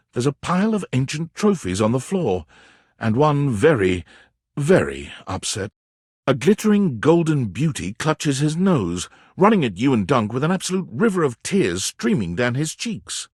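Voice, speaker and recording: A man playing a wry, theatrical narrator of a playful dating game, telling scenes in the second person with expressive, dramatic delivery. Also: mid-range voice, husky, no echo, studio quality. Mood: dramatic, amused.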